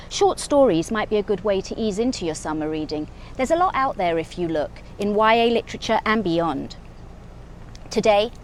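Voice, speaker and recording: A woman librarian reading a short story aloud from home in a calm, low-key way. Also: a little hiss or hum.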